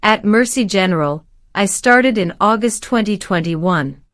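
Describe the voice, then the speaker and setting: A woman in her forties from Syria answers as a citizenship applicant, polite and formal. Her replies are measured and careful. Her voice sits at a middle pitch and sounds dry and close. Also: lively intonation, a bit bright, no echo. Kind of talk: scripted interview answers.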